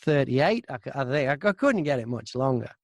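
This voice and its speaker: A man's voice: medium pitch, big pitch swings, brisk, dry recording. A man chatting casually as an interview guest, an audiobook narrator who tells stories by acting out both sides of exchanges. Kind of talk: casual anecdotal interview chat.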